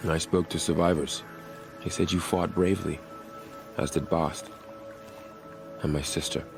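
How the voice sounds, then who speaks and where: Super deep voiced warrior on gate duty, grave and dutiful, game dialogue, bit of music behind. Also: male voice, fairly even intonation, gravelly. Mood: somber, earnest. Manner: measured, earnest, grave. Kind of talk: video game voice acting.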